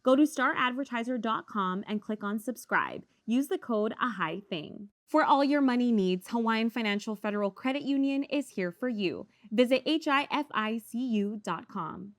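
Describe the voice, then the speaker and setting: A woman reading scripted commercials in a crisp, upbeat promotional tone. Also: fairly high pitch, animated, normal speed, few pauses, dry recording, studio quality. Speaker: ad announcer.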